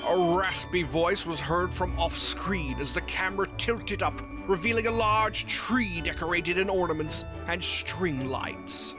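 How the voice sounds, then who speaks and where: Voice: mid-range voice, measured pace, hardly any pauses. A narrator reading a scripted fan fiction story aloud. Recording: music behind the voice.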